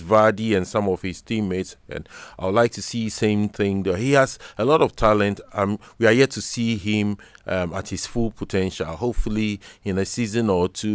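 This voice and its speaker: A Ghanaian man with a Ghanaian English accent speaks in a low, smooth and resonant voice that sounds close and dry, like a radio studio. He talks in a measured, earnest way and repeats words as he gathers his thoughts. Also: dry recording. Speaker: sports radio pundit. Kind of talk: studio sports discussion.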